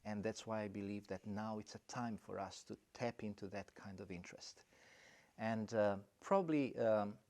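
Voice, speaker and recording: A middle-aged man from Romania speaks in a low voice, thinking aloud as he answers. He pauses often and restarts his sentences, with plenty of fillers along the way. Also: a little mellow. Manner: thoughtful, halting, many fillers and restarts. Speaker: college principal. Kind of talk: interview answers.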